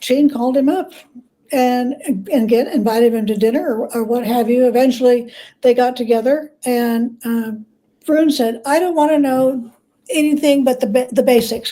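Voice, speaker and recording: Mellow, higher-pitched woman telling history. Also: poor audio. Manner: measured, pauses to gather thoughts. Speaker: interview guest, biographer. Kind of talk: conversational storytelling.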